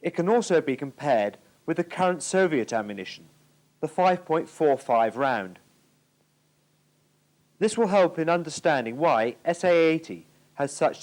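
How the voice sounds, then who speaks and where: A man's voice: a little high, darkish tone. A British man giving formal, precise scripted narration for an instructional military training film from the 1980s.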